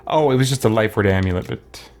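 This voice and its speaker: A man's voice: lowish voice, fast talker. A man hosting a let's play, thinking aloud in casual commentary as he goes. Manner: thinks aloud, muttering to himself. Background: game music and sound.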